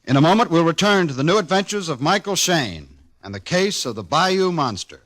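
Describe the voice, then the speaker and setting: A man's voice: on the high side, lively intonation, fairly quick, fairly bright tone. Dramatic old-time radio announcer man. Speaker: director and announcer. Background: dramatic brass music.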